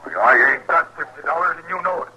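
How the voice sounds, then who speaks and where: An actor playing a surly, defiant frontier ruffian with rustic, protesting speech and an American accent, in 1950s radio western style. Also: male voice, on the high side, animated, quick pace, heavy background noise, natural voice. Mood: sullen, indignant. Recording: old radio recording with crowd murmur.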